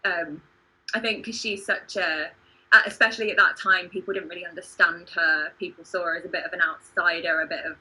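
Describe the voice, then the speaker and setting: Bright, husky-edged woman chatting. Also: on the low side, steady tone, fairly quick, rough recording. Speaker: playwright and podcast host. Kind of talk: casual livestream chat. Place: home video call.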